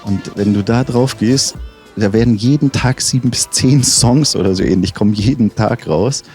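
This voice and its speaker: A man's voice: mid-range voice, normal speed, warm tone, faint music behind. A man as a rapper interview guest, chatting casually and enthusiastically with slang and frequent restarts. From Munich.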